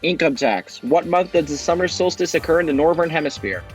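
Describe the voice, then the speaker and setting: A man hosting an amateur quiz show, upbeat and brisk as he announces questions. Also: a little high, animated, soft background music, rough recording.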